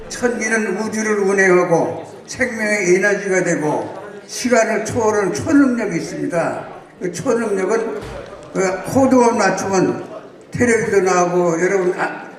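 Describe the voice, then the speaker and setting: A man in his nineties from South Chungcheong giving a formal congratulatory speech and lecturing with rhetorical questions. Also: high voice. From South Chungcheong, Korea. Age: 90s. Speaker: guest speaker. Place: large banquet hall. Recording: echoey hall, distant mic.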